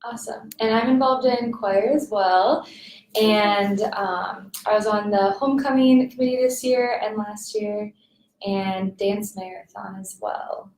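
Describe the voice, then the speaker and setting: A woman's voice: mid-range voice, steady tone, a little slow, a little mellow. A young American woman hosting a student panel, speaking in a friendly way. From Fargo, North Dakota. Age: young adult. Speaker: panel host, admissions ambassador. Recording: echoey room.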